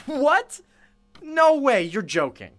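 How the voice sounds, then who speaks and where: A man plays a young male radio interviewer in an audio drama. His delivery swings widely in pitch and sounds eager and inquisitive. The phrasing is conversational, with small hesitations. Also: big pitch swings, slow pace, a bit bright, full character voice. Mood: astonished.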